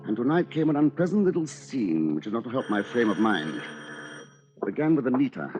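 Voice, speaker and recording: An actor playing a cold, conniving attorney with a formal, pompous, calculating manner in an old-time radio drama. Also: male voice, medium pitch, big pitch swings, fairly quick, natural voice. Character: cold, conniving male attorney, cleverly manipulative. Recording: muffled old radio recording, music behind.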